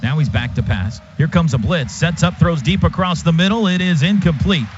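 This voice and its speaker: Male football radio announcer, excited, raised pitch, dull broadcast sound, clear stadium noise. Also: moderate pace. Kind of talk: live American football radio commentary. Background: loud crowd and stadium noise.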